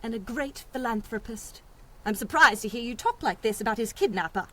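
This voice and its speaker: A woman's voice: on the high side, animated, a little fast, fairly bright tone. A woman playing a prim, indignant secretary in a radio drama, speaking formally and dramatically. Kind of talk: 1930s-set radio drama acting.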